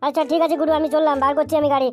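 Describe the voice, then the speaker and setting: A woman's voice: high voice, flat delivery, rapid-fire, a little mellow, faint music behind, natural voice. A woman voicing a crude, boastful young male slacker and sidekick in an amateur comedy cartoon. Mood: eager, deferential. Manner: swearing, bragging.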